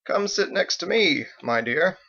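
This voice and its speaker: A man's voice: high-pitched, animated, unhurried, creaky, bright, forceful tone, dull audio, voice pushed up, full character voice. A man voicing a secretive older husband in an audiobook, outwardly fond, teasing and coaxing. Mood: tender, coaxing. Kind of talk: audiobook character voice.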